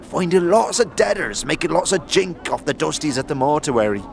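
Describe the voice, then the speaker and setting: A man's voice: fairly high pitch, sing-song, normal speed, creaky, a crowd in the background. A man playing a cheery, chatty ragged corpse collector in an audio drama, upbeat, rambling and slangy. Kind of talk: audio drama character acting.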